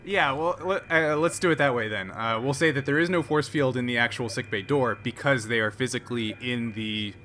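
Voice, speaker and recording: A man narrates a tabletop game as its game master. His voice sits at a middling pitch and he hesitates as he thinks through rulings. There is clear noise in the recording. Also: fairly quick, hardly any pauses, bright, forceful tone. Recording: clearly noisy background.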